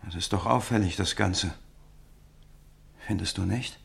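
A wary, uneasy seller in a German radio drama. His low voice is extremely husky and full of fry, reluctant and suspicious. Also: a little slow, exaggerated voice.

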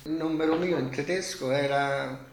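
An elderly man, recalling his past as a witness in a halting, colloquial way. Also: on the high side, fairly even intonation, vocal fry, reverberant, rough recording. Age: 80s. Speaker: survivor giving testimony. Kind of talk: spoken reminiscence.

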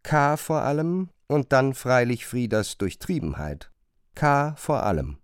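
A man narrating a literary radio play, retelling a chambermaid's grievances in an expressive, emphatic way. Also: medium pitch, unhurried, clear, resonant tone, no room sound, clean studio sound. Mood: engaged, aggrieved.

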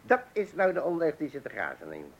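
An actor playing a chatty working-class window cleaner and keen angler in a radio play, folksy, boastful and amused with himself. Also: male voice, a little high, huge pitch swings, brisk, slight background noise, poor audio. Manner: folksy, boastful, self-amused, hesitant openings.

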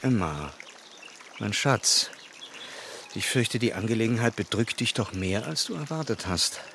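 An actor playing an earnest, tender English gentleman in love in a period radio play, gentle and measured. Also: lowish voice, expressive, gravelly, slight background noise, full character voice. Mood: concerned, gentle. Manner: gentle, measured, formally polite. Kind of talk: German radio play acting of period novel.

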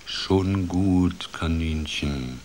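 Ein Mann, der in einer Kindergeschichte eine weise, gütige Eulendame spricht, besänftigend und bestimmt. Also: male voice, low-pitched, darkish tone, a little hiss or hum. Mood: compassionate. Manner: soothing, measured, authoritative. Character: wise, calm female owl, kindly authority.

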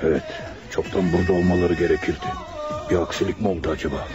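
An actor playing a ruthless gang boss, a cold planner quick to rage, in a Turkish radio drama. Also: male voice, low voice, steady tone, muffled recording, music in the background, lightly acted. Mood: brooding.

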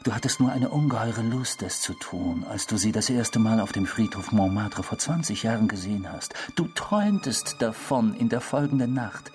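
An actor in a radio drama playing a sardonic, tormenting inner voice, with a husky, mocking and theatrical delivery. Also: male voice, fairly low pitch, expressive, normal speed, few pauses, darkish tone, soft background music, lightly acted. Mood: coolly taunting. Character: sardonic tormenting inner voice claiming to be a man's destructive self.

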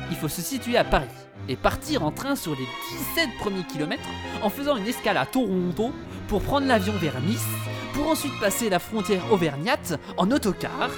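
A man acts out the absurd hero of a comic audio drama. He is scheming and theatrical, delivering nonsense with mock gravity in a very animated melody. Music plays behind him. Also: high voice, voice pushed up. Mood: mock-serious. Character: absurd, scheming male hero of a parody adventure.

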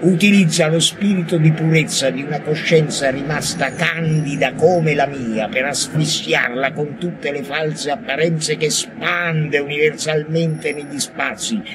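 A man's voice: a little high, steady tone, measured pace, few pauses, lightly acted. A man playing an intense, defiant madman poet in a theatrical, declamatory radio monologue with prophetic ranting. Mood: feverish, insistent. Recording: noisy radio recording.

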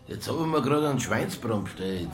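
An actor playing a middle-aged, fat, unhurried Bavarian police detective in a radio drama, laconic and grumbling. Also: male voice, mid-range voice, animated, noisy background, lightly acted.

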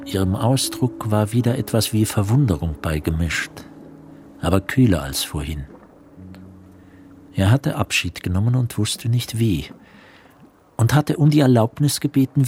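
A man narrating a literary radio drama in a measured storytelling manner. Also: fairly low pitch, a little mellow. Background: instrumental music.

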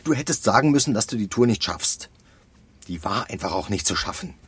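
Ein Schauspieler spielt in einem Hörspiel einen ruppigen, aufgebrachten Kollegen mit rauer Stimme, der direkt und vorwurfsvoll redet. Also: male voice, on the low side, lively intonation, fairly quick, fairly bright tone, no echo, heavily acted. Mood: reproachful. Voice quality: husky, rough. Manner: reproachful, blunt. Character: gruff exasperated male colleague scolding a young postwoman. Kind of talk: radio drama acting.

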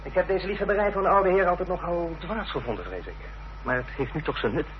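An actor playing a friendly, jovial young country lawyer in a radio drama, with an easygoing gentlemanly manner. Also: male voice, high-pitched, fast, close to an everyday voice. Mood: obliging, musing. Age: young adult. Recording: muffled, noisy old radio play recording.

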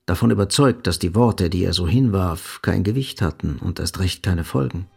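A man's voice: deep voice, moderate pace, vocal fry, darkish tone, husky. A man narrating a German radio drama in a scripted, literary style.

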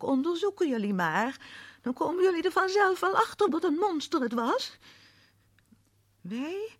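High-voiced, volatile radio drama murderess. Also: female voice, no room sound. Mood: bitter scorn. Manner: reads aloud, muttering bitter asides, breaking into anguished stammering. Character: distraught woman killer, scornful, then horrified by guilt.

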